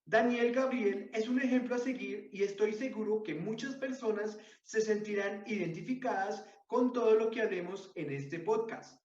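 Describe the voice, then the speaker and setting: A man's voice: high-pitched, moderate pace, hardly any pauses, darkish tone. A man hosting a podcast, presenting his guest and putting interview questions, recorded with dull, roomy sound. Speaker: podcast host.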